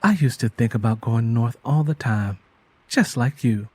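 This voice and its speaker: A man's voice: mid-range voice, unhurried, darkish tone, slightly rough, dry recording. A man voicing a stern, weary rural mother with blunt dialect speech in an audio drama. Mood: confiding.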